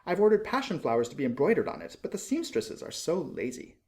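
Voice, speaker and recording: A man's voice: fairly high pitch, wildly swooping pitch, fast, darkish tone, voice pushed up. Man putting on a vain young society lady. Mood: peevish vanity.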